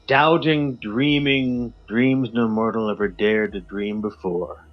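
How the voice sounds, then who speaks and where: A man co-hosting a podcast, giving a theatrical poetry reading that swings between loud highs and hushed drops. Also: fairly even intonation, takes it slowly. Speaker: podcast co-host. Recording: slightly muffled with faint music.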